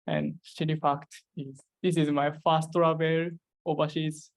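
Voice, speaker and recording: A man's voice: a little high, flat delivery, unhurried, creaky, dry recording. A man with a Japanese accent nervously introducing himself as a visiting researcher. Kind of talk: self-introduction.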